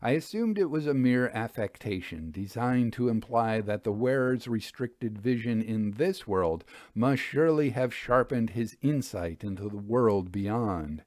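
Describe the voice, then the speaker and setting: A man's voice: mid-range voice, expressive, a little slow, few pauses, darkish tone, no room sound, studio quality. A man reading scripted narration for a period mystery story as an audiobook narrator.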